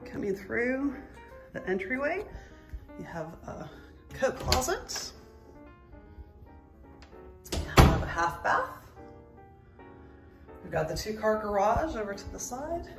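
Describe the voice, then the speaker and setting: Unhurried middle-aged woman giving a walk-through. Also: a little high, big pitch swings, music in the background. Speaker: real estate agent. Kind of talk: walk-through house tour narration. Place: indoors, empty house. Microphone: handheld phone behind the camera.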